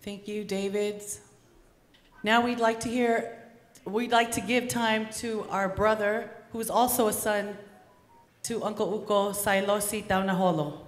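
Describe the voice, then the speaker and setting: A woman introduces the next speaker at a memorial in a polite, formal manner. Her voice sits at a middle pitch, and a big room echoes around it with a long reverb. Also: fairly even intonation, a little slow. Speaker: program emcee.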